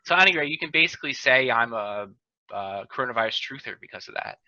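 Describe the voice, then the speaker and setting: Sharp, lively man on a dull call. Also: medium pitch, fast, no echo. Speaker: podcast host. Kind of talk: casual group chat on a video call. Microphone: video call. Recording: dull, lo-fi video call audio.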